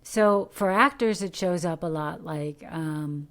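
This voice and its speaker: A middle-aged American woman from Detroit, an actor giving earnest, coaching advice in a podcast interview, animated with rhetorical questions. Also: low voice, measured pace. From Detroit, USA. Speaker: guest, actor. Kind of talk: podcast interview, motivational talk.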